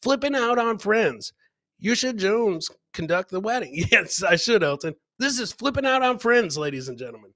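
A man's voice: sits high, sing-song, normal speed, fairly bright tone, dry recording. A middle-aged man hosting a live comedy show, casually commenting on clips and chatting with viewers, joking and repeating his phrases. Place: indoor home studio, webcam livestream.

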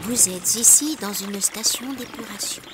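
A woman's voice: medium pitch, a little slow, heavy background noise, natural voice. A woman voicing a tiny, friendly spider who enthusiastically guides children and explains nature in an educational cartoon. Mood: explaining, reassuring. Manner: enthusiastic, instructive, playful. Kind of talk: animated educational cartoon acting.

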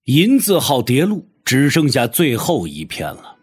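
Highly animated male storyteller. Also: a little slow, slightly rough, faint music behind. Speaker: narrator. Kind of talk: dramatic scripted audiobook narration.

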